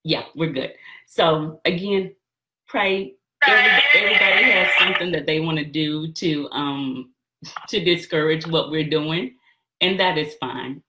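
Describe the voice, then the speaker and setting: A woman's voice: sits low, measured pace, noisy background. A woman in her thirties hosting an online call-in session, speaking casually to her listeners from a small room. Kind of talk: casual, apologetic address to listeners. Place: small home office, indoors.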